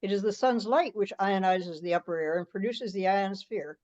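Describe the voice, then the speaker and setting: A woman speaks at a medium pitch in an online meeting, presenting and discussing written material. The sound is a little dull, as on a conference call. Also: brisk, a little mellow. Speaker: meeting participant presenting a draft letter. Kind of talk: reading a draft aloud and discussing it in an online meeting. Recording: slightly dull video call audio.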